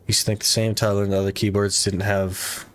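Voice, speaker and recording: Low-voiced man chatting on a game stream. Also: fairly quick. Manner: relaxed, talks to chat with many "like" fillers. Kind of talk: casual live-stream chat while gaming.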